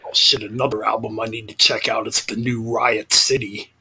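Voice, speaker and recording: Very raspy, bright man in his forties. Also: lowish voice, level tone, moderate pace, poor audio. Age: 40s. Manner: eager, talks up albums. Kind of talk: casual chat.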